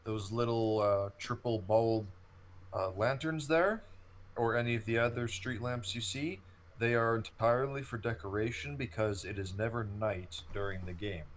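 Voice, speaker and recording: Male gaming co-host, 30, deeper voice, explanatory storyteller, casual commentary style. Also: normal speed. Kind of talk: casual gameplay commentary and reminiscing.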